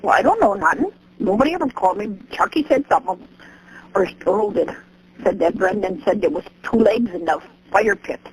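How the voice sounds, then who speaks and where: A man with a husky, rough-edged voice of medium-to-high pitch chats informally on a phone. The audio is an archived recording of a private call. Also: animated, brisk, low-quality recording.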